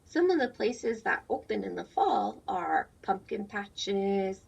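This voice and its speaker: A woman's voice: mid-range voice, animated, slow, gravelly, a little mellow, husky. A woman teacher doing a read-aloud lesson for young children, explaining things simply and putting questions to her listeners. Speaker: teacher reading a picture book aloud to children. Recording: dull, lo-fi sound, distant from mic.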